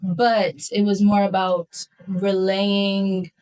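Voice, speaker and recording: A woman's voice: medium pitch, slow pace, low-quality recording. Young woman chatting casually about singing. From West Philadelphia. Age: 20s. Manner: relaxed, lots of fillers like um and you know. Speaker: guest singer. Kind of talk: casual conversation.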